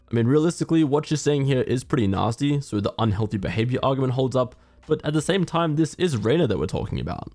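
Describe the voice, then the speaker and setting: A man giving casual, conversational commentary on a video game in a measured, opinionated way. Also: mid-range voice, darkish tone, no echo.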